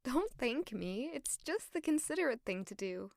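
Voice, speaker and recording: An actress playing a caring, sociable young maid in an audio drama, speaking softly and earnestly in dialogue and reflective narration. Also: female voice, high-pitched, very animated, moderate pace, creaky, no room sound, clean studio sound, exaggerated voice. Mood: polite, light. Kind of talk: audio drama acting and first-person narration.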